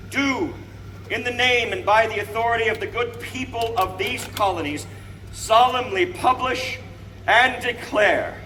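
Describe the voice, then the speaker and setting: An actor playing an eighteenth-century American statesman, a solemn and fervent orator declaiming grandly and deliberately to the public in a historical reenactment. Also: very high-pitched, sharp tone, exaggerated voice. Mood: fervent, resolute. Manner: grand, emphatic, deliberate phrasing projected to a crowd. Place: outdoors before a crowd, speaking from a balcony. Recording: outdoor crowd recording from a distance, noisy.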